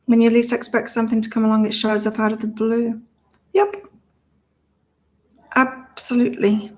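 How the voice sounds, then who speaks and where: A woman's voice: on the high side, flat delivery, normal speed, darkish tone. A middle-aged woman from the UK hosting a casual live psychic chat, rambling and repeating herself as she reads out viewer comments. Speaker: psychic livestream host.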